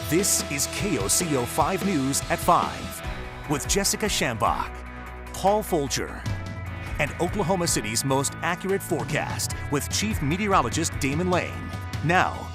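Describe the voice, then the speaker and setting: A man's voice: on the high side, big pitch swings, measured pace. A man announcing the introduction to a television newscast. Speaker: station announcer. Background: music behind the voice.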